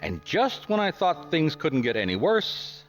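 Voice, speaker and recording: An actor with an American accent playing a wry, put-upon 1950s private detective in a radio drama, plain-spoken and a little hesitant. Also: male voice, a little high, wildly swooping pitch, a little slow, soft background music, rough recording. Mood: wry narration. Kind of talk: radio drama acting with first-person detective narration.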